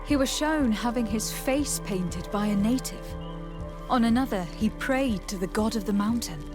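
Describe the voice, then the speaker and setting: A woman's voice: fairly high pitch, moderate pace, lightly acted. An actress playing a composed, diplomatic noblewoman envoy in a fantasy video game. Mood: measured, explanatory. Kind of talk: scripted fantasy game dialogue. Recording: game music behind the voice. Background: game music.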